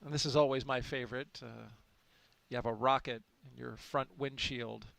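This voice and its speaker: A man's voice: mid-range voice, steady tone, normal speed, gravelly, a bit bright, no echo. A man giving live launch commentary in a chatty, enthusiastic way, with frequent fillers.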